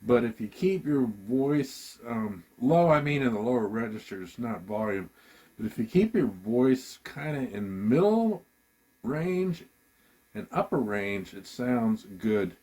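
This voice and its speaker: A man giving a casual, halting gear demo, thinking aloud as a musician at work. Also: mid-range voice, lively intonation, dark tone. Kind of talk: casual product demo talk.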